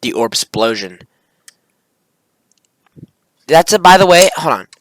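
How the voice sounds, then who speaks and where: A man's voice: on the high side, big pitch swings, measured pace, fairly bright tone, dry recording. A young man giving informal, rambling screen-recording commentary and reading his script aloud, often correcting himself. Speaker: video creator.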